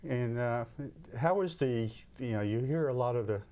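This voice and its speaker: A man's voice: medium pitch, moderate pace, warm tone. A man hosting a talk show, interviewing a guest with friendly, rambling questions.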